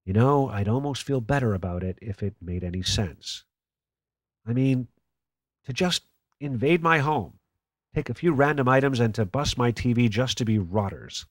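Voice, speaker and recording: A man's voice: mid-range voice, animated, normal speed, dry recording, natural voice. A man playing a jaded, sarcastic call-in radio host in a radio play. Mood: weary, aggrieved. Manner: dry, sarcastic. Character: sardonic, jaded male conspiracy talk radio host. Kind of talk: radio play acting as talk radio.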